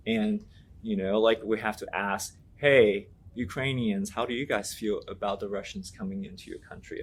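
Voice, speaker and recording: A man from Taiwan whose first language is Mandarin speaks in a low voice, answering like a teacher who means every word. He circles back, restarts and leans on little fillers as he thinks. A faint background noise sits under the line. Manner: earnest, thinking aloud with many "you know" fillers and restarts. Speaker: Latin teacher, interview guest. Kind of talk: reflective interview answers.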